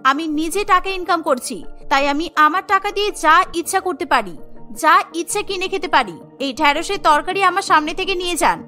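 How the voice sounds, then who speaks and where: A woman voicing a haughty, irritable young daughter-in-law in a cartoon, with a sharp, defiant way of talking. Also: very high voice, a little fast, faint music behind. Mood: angry. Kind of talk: Bengali cartoon voice acting.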